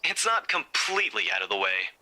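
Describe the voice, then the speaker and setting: A man acting a composed, guarded young man in a visual novel, with a husky voice and a controlled, dry delivery. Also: lowish voice, very animated, fairly quick, sharp tone, heavily acted, low-quality recording. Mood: dry, brisk.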